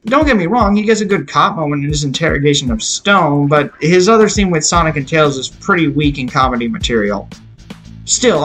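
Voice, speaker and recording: Higher-pitched young man reviewing over music. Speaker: video reviewer. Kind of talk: scripted movie review narration. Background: background music.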